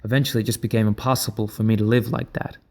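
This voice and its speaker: A man playing a haunted, intense leader of dream thieves in an audio drama, speaking in a brooding, deliberate way. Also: on the low side, level tone, a little mellow, close to an everyday voice. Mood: haunted, regretful.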